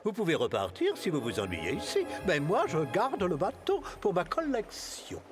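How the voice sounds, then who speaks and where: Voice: medium pitch, moderate pace. An actor voicing a giant, boastful kraken who collects boats, theatrical and easily distracted, in a children's cartoon with music behind. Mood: haughty, matter-of-fact. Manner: theatrical, swooping from grand politeness to gleeful excitement.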